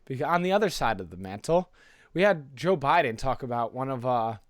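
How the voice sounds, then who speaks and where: A man talks as a podcast co-host in a dry, close-sounding recording. His pitch is medium, and his delivery is informal and conversational, peppered with rhetorical check-ins. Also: expressive, fairly quick, dry recording. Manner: informal, rhetorical tag questions.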